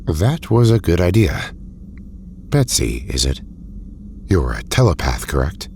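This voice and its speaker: Extremely deep, courteous male doctor played by an actor. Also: very animated, measured pace, gravelly, darkish tone, a little hiss or hum, full character voice. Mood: concerned. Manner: hesitant, polite, precise. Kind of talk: audio drama acting.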